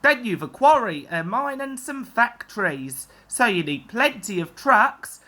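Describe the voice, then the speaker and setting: A woman's voice: fairly high pitch, very animated, a little slow, pushed and bright, exaggerated voice. A woman voicing a friendly, wistful male steam engine in a children's story.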